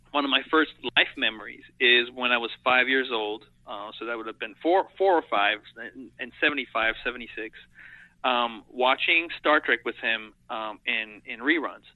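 A man's voice: mid-range voice, no room sound. Middle-aged man, French native speaker, earnest interview guest; dry, phone-quality line. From Europe and Africa. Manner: earnest, reflective, thinks aloud in long sentences. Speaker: guest, animation editor. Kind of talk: casual interview talk.

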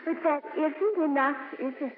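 An actress playing a meek, devout, quietly anxious spinster in a 1950s radio drama. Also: female voice, high-pitched, normal speed, lightly acted. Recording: reverberant, hissy, tinny old radio broadcast.

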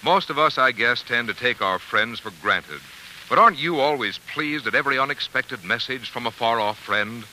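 A man's voice: medium pitch, animated, normal speed, pushed and bright, poor audio. A man announcing commercials in polished, folksy 1940s radio style, selling directly to the listener. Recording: hissy old radio broadcast.

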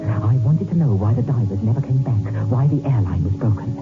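A man's voice: mid-range voice, fairly quick, natural voice. An actor playing a greedy, murderous diving partner in 1960s radio suspense, brusque, callous and brooding as he talks and narrates. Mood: brooding, cold. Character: cold-blooded, greedy, murderous man, scheming diving partner. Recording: muffled narrow-band old radio, loud music.

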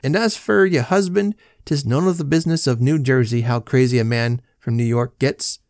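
A man's voice: medium pitch, moderate pace, darkish tone, no echo, studio quality, lightly acted. A man reading a story and voicing a wry, dismissive police sergeant with a lilt.

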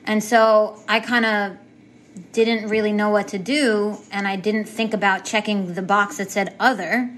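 A woman being interviewed, recalling events casually and hesitantly, pausing to search for words. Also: medium pitch, little pitch movement, fairly bright tone, a little hiss or hum. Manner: hesitant, with many fillers and restarts. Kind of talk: casual recollection.

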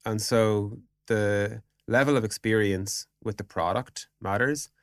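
Low-voiced man explaining casually, dry sound. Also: measured pace, no echo. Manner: informal, explanatory, says "like" and "you know" often. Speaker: podcast guest, product executive. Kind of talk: casual business podcast conversation.

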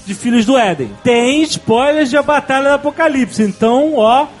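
A man with a Brazilian Portuguese accent hosting a podcast in excitable, slangy, exclamatory banter. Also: very high voice, moderate pace, faint music behind. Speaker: podcast host.

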